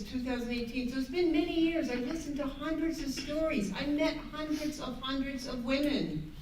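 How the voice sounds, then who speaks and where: An older American woman giving an earnest, emphatic, unscripted advocacy talk as a panel speaker, often halting and rephrasing as she goes. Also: sits high. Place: echoey indoor room at a public panel event. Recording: echoey room, distant mic, background noise.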